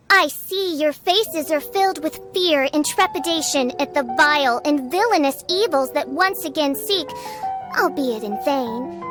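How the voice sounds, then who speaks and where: A woman playing a haughty young self-styled princess in a video game, declaiming pompously in archaic, theatrical speech. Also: high voice. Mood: grandiose. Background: music.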